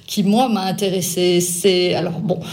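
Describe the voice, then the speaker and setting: A woman's voice: fairly low pitch, steady tone, measured pace, darkish tone. An older woman interviewing an author about her novel, speaking hesitantly with many fillers and restarts. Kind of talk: radio book interview.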